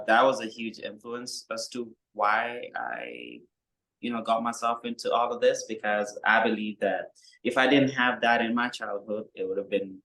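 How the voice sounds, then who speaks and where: A young man from Kenya, a musician guest, talking in a relaxed, rambling way with many fillers. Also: fairly low pitch, low-quality recording. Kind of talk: casual interview chat.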